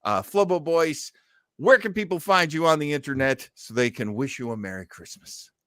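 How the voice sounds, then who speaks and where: A man hosts a loose, joking conversation with a bright, forward voice that sits on the high side. His delivery is theatrical and effusive, with lively swings in pitch, and the recording is close and dry. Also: moderate pace, no room sound. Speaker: host. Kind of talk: casual chat.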